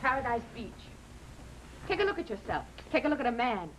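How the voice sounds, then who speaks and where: Radio drama wife: weary, scornful, slightly high voice, old noisy recording. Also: female voice, big pitch swings, a little fast, fairly bright tone. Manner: theatrical, accusing, scornful. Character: weary, bitter, discontented wife of a poor bookseller.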